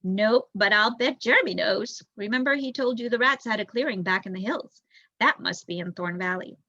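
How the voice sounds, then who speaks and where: Woman reading as an eager bright-voiced boy mouse. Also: mid-range voice, moderate pace, no room sound, dull audio. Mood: determined. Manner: insistent, eager pleading.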